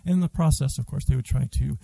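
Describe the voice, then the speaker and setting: Gravelly, dark-voiced man explaining calmly. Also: medium pitch, quick pace, no room sound. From Brazil. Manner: relaxed, explains with restarts and fillers. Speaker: interview guest, school head. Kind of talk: casual interview conversation.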